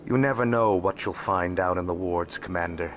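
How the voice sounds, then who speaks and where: An actor playing a shrewd, wealthy alien information broker in a video game, speaking in a calm, formal, measured way. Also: male voice, deep voice, slight background noise, close to an everyday voice. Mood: admiring, polished. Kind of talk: video game dialogue acting. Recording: music behind the voice.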